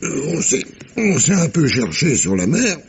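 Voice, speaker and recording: An actor playing a rough, tipsy sailor spinning a sea yarn in slangy, rambling, halting speech, in 1950s French radio mystery style. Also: male voice, a little high, very animated, full character voice. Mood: evasive, confiding.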